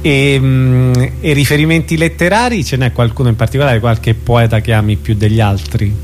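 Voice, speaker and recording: Man, radio host, average pitch, conversational interviewing style, slightly halting delivery. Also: a little hiss or hum. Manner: hesitant, searching phrasing.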